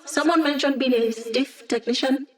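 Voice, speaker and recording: A woman's voice: sits high, moderate pace, lightly acted. An actress voicing a snarky, upbeat young female hacker who gives tech support in a video game, with playful, wry, casual quips. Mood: playful, confident. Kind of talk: video game character voice lines.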